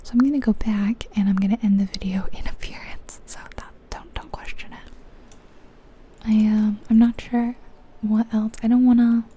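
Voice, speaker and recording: Female ASMR gaming host: breathy whisper, very soft dark tone, flat and gentle delivery, slight noise in the recording. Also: fairly high pitch. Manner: gentle, unhurried, informal. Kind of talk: whispered ASMR game commentary.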